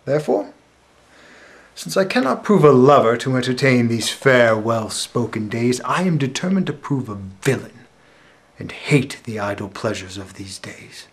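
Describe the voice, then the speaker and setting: Casting: male actor as bitter, plotting, misshapen royal, classical stage monologue, mid-range voice, measured dramatic delivery. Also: medium pitch, big pitch swings. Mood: scheming resolve.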